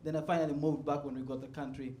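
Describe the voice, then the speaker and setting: A man works a stand-up set in a comedy club. His voice sits fairly high, and he keeps chuckling as he pushes toward loud punchlines. The sound is roomy, like a live club. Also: level tone, fast, slight background noise. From South Sudan. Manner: laid-back, chuckles at his own jokes, builds to loud punchlines.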